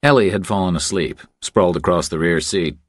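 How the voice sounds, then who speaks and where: A man's voice: sits low, a little fast, dry recording, clean studio sound. A man reading a novel aloud as a scripted third-person audiobook narration.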